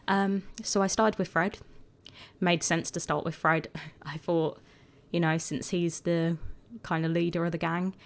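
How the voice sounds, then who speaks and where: Woman, a bit croaky, lowish voice, rambly casual chat with lots of likes and ums. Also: little pitch movement, a little slow. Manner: chatty and rambling, full of "like" and "um". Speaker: gaming video creator. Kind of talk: casual voice-over commentary.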